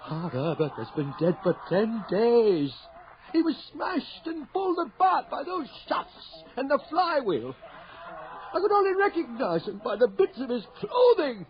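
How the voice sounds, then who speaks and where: An actor playing a grieving, reluctant husband and father in a radio horror drama, with halting, pleading delivery. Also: very high voice, big pitch swings, exaggerated voice. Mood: alarmed, pleading. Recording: dull, noisy 1980 radio broadcast recording.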